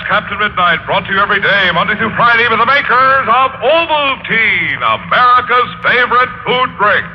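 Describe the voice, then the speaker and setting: Male 1940s radio ad announcer, eager, high-pitched, on a muffled archive recording. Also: animated, normal speed. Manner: eager, selling. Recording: dull vintage radio with faint noise.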